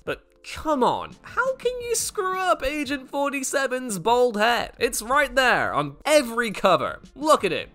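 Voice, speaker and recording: Man, scripted opinionated narration, swooping expressive pitch, sardonic, punchy emphasis, soft music bed. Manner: emphatic, sardonic, exaggerated stress. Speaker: presenter of a film list video. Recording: music behind the voice. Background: background music.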